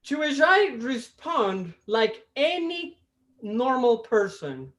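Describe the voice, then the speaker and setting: A man from Costa Rica with a Costa Rican Spanish accent, doing a stand-up comedy set over a video call, with a deliberate delivery that stops and restarts. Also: very high-pitched, sing-song, a bit bright. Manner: deliberate, with restarts and self-amused laughs. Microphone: video call mic.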